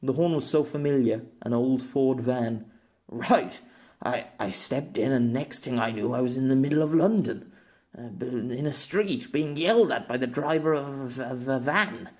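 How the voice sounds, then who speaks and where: Man acting a confused, halting middle-aged novelist. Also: mid-range voice, a little mellow, close to an everyday voice. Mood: troubled recollection. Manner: halting, searching, repeating words.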